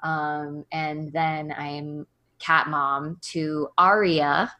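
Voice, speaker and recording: Thirtyish woman guest, bubbly and self-mocking, lowish pitch. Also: fairly even intonation, bright, forceful tone. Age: 30s. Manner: bubbly, self-deprecating, quick asides. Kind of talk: casual interview conversation.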